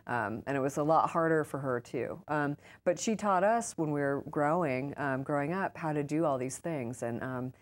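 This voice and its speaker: A woman's voice: low voice, normal speed, few pauses. An American woman in her thirties talking casually as an interview guest, informal and peppered with "like" and "you know". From United States. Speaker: guest author and urban farmer.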